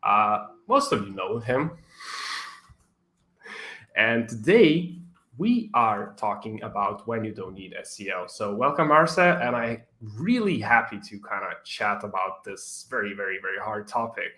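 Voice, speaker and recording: A man's voice: lowish voice, very animated, a little slow, bright, forceful tone. A man hosting a weekly livestream, friendly and modest, chatting casually over a video call. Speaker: livestream host. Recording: echoey room.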